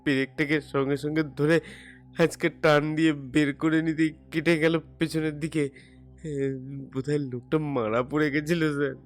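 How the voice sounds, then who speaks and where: Guy playing a nervous apologetic young labourer, high pitched, halting and stuttery, begging in an audio drama. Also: little pitch movement, faint music behind, close to an everyday voice. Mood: frightened, agitated. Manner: halting, stammering, pleading, deferential. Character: frightened, apologetic young labourer confessing an accidental killing. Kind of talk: audio detective drama acting.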